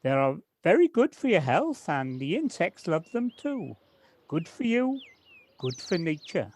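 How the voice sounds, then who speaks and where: An actor playing a kindly, modest gardener in an audio drama, hesitant and polite with slight stammers. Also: male voice, a little high, very animated, unhurried, a little hiss or hum. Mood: keen, encouraging. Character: kindly, hesitant village gardener, Alan, modest and nature-loving. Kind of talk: amateur audio drama acting.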